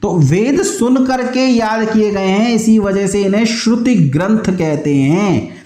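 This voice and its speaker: High-pitched man teaching, echoey room. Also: measured pace, warm tone. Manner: explanatory, asks questions and answers them himself, repeats key terms. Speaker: teacher of exam preparation classes. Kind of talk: online history lesson with question and answer drilling.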